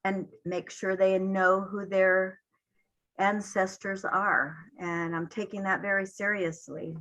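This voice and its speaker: An older woman speaking as a panelist, reflecting in a halting way with many pauses. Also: medium pitch, little pitch movement, a bit bright, low-quality recording.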